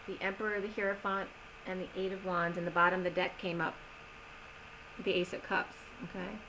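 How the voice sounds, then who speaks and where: A woman giving a tarot reading in a slow, soothing manner, slightly strained as she is losing her voice. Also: fairly low pitch, level tone, noisy background. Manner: slow, soothing reading of oracle cards with gentle pauses. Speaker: tarot reader. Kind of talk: solo tarot reading video.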